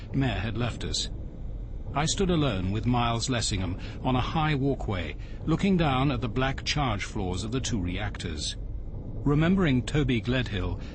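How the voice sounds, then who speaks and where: An actor with a British accent playing a reflective detective, narrating in a measured, literary radio drama style. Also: male voice, mid-range voice, noisy background. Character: reflective male detective narrating his investigation. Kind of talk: scripted first-person radio drama narration.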